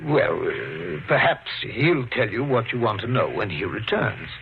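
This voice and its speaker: Courteous, evasive mayor with animated delivery. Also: male voice, lowish voice, measured pace, creaky, exaggerated voice. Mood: evasive, uneasy. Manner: formal, hesitant, apologetic. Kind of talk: 1950s radio drama acting. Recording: dull, faintly noisy 1950s radio recording.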